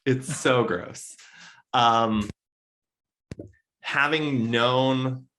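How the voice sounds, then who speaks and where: A man speaking as a podcast guest, discussing fiction casually in an emphatic, halting way. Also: medium pitch, a bit bright. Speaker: podcast guest, literary editor. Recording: roomy, echoey.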